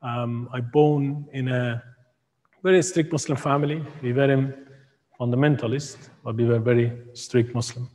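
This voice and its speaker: A man in his thirties from Iran, speaking English with an Iranian accent, giving a halting personal testimony as a guest speaker in a church hall. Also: medium pitch, steady tone, dark tone. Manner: halting, with many ums and broken grammar. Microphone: far camera. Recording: echoey hall from far camera.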